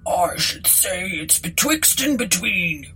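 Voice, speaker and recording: A woman's voice: on the low side, big pitch swings, slow, sharp tone, slight background noise, heavily acted. A woman voicing a plain-spoken rustic English landlord with homely, puzzled country phrasing in a storybook reading. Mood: puzzled, bothered.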